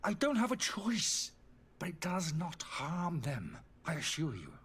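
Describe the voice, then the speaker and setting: An actor playing a disgraced, indignant alchemist in a fantasy video game, pleading and justifying himself theatrically in a slightly husky voice. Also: male voice, a little high, big pitch swings, a little slow, fairly bright tone, exaggerated voice. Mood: defensive, agitated. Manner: agitated, defensive, theatrical delivery.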